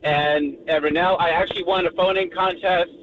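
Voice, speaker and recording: A man's voice: high voice, steady tone, normal speed, narrow, tinny audio, slight background noise. A man calling in to a sports radio show, emotional and heartfelt. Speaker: caller. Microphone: phone.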